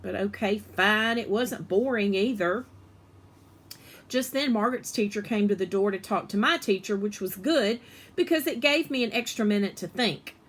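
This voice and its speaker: A woman reading a children's story aloud in an animated, expressive way, with emphasis on the dialogue. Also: mid-range voice, normal speed.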